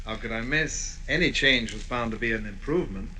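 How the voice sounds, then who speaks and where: An actor playing a dry, sardonic, incredulous psychoanalyst in an old-time radio comedy. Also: male voice, medium pitch, a little fast, pushed and bright. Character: sardonic psychoanalyst, dryly incredulous with his patient. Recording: very noisy vintage radio recording.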